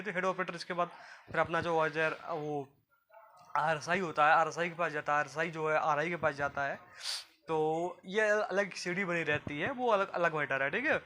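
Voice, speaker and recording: A man's voice: on the high side, pushed and bright, slight background noise. A man from gives an informal, repetitive explainer to viewers as a job guidance presenter. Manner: repetitive, explanatory, often addressing listeners as friends.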